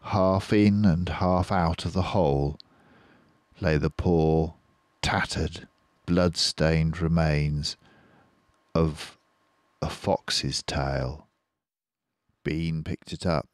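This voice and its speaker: An older man narrating a children's story in a measured, careful and suspenseful way. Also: rumbling low, fairly even intonation, dry recording. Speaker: storybook reader.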